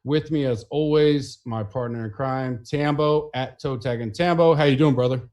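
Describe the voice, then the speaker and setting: A man hosts a laid-back sports podcast, talking at an ordinary mid pitch. His delivery is loose and rambling, full of fillers and restarts. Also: normal speed, a little mellow, lo-fi sound. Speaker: podcast host. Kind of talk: casual podcast chat.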